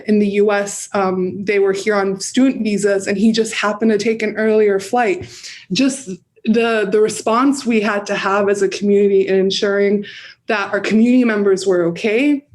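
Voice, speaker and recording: A woman with an American accent giving earnest, reflective answers as an interview guest. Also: medium pitch. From United States. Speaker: guest, campaign director and community organizer.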